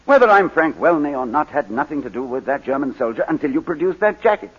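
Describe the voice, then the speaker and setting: A husky, haunted British gentleman on old radio. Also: fairly high pitch, sing-song. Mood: defensive. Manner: halting, stammering, overwrought. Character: anguished British gentleman, war veteran and plaintiff, haunted. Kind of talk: 1940s radio drama acting. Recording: dull, lo-fi 1940s radio recording.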